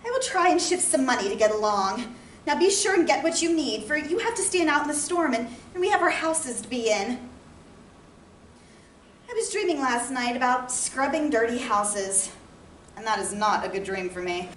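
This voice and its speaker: A woman's voice: sits high, expressive, normal speed. An actress playing a loving, anxious farm wife of the 1860s, speaking her letters to her husband as an emotive stage monologue in plain, old-fashioned rural phrasing. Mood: worried, tender. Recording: roomy, distant mic with background noise.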